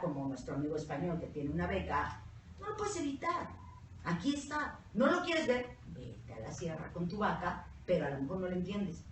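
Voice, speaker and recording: A Mexican woman co-hosting a casual panel chat, blunt, profane and animated, with a Mexican Spanish accent. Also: fairly low pitch, a little hiss or hum. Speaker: co-host. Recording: echoey room, mic at a distance.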